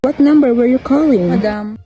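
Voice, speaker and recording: A woman's voice: on the high side, expressive, fairly quick, dull audio. A young woman in an amateur radio play, playing a polite, formal telephone operator who keeps strictly to routine. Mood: polite, detached. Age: young adult. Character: polite, rote, unflappable female telephone operator. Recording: clear background noise and ambience.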